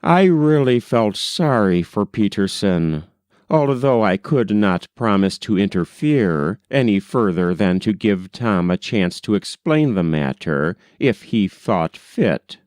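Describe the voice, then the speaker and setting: A man reading a classic novel aloud as an audiobook narrator, in a measured, unhurried storytelling manner. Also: fairly low pitch, expressive, a little mellow, no echo, clean studio sound.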